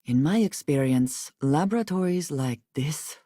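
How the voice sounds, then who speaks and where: An actress playing a guarded, earnest and devout woman spacefarer in a video game, speaking in measured, formal phrases. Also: low voice, expressive, mellow, no echo, clean studio sound. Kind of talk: video game character dialogue.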